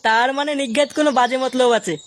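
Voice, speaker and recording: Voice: sits high, fairly even intonation, fast, close to an everyday voice. A voice actor playing a brash, cursing young village lad in a comedy cartoon. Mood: grumbling. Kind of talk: comic cartoon dubbing.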